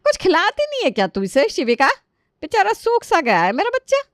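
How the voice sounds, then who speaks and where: A woman's voice: very high-pitched, huge pitch swings, brisk, no echo, studio quality, voice pushed up, exaggerated voice. A woman playing an older, doting mother-in-law in a family story, fussing and emphatic. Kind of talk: acted family storytelling dialogue.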